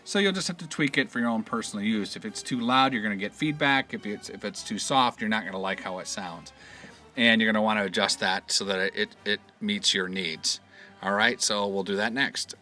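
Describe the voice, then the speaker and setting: A man giving a casual step-by-step installation tutorial, explaining in a halting way and often trailing off. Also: fairly low pitch, bright, forceful tone, faint music behind. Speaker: product installation instructor.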